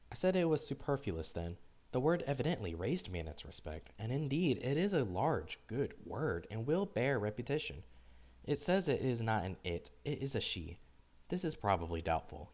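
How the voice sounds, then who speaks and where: A man voicing Adam as a grumpy, bewildered, solitary diarist, weary and fussy, in a deadpan storybook diary reading. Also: on the low side. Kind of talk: first-person storybook diary narration.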